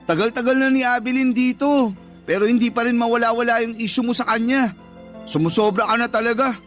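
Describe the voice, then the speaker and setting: Exasperated younger brother, very high-pitched. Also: male voice, fairly even intonation, a little fast, a bit bright. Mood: fed up. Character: exasperated younger brother defending his girlfriend. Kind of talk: radio drama acting. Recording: music behind the voice.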